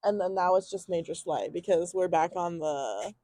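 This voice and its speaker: Chatty young woman with a low, mellow voice. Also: normal speed, dry recording. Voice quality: loud projecting voice. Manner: chatty, laughs often, lots of "like" and slang. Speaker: podcast co-host. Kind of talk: casual chat.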